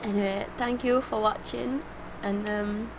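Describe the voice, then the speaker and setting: A woman's voice: mid-range voice, fairly even intonation, a little slow, background noise. A woman talking casually and personally as a reaction vlogger, heartfelt and rambling, emotional. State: emotional, tearful.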